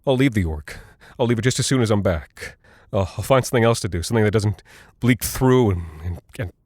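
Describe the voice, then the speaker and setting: A man's voice: fairly low pitch, lively intonation, a little fast, a little mellow, no echo. A desperate space pilot and father coming apart with guilt. He rambles and stammers through a haunted horror monologue, recorded close and dry. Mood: anguished regret. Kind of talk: dramatic monologue in horror audio fiction.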